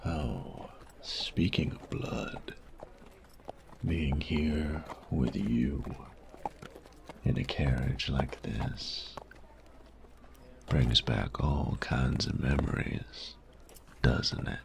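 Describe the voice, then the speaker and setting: A man playing a cocky, sadistic bandit in a roleplay audio drama, smug and teasing, with a gravelly voice that often drops to a breathy whisper and taunting, drawn-out phrasing. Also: extremely low pitch, noisy background, full character voice. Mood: menacing, nostalgic. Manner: slow, taunting, drawling, savoring pauses.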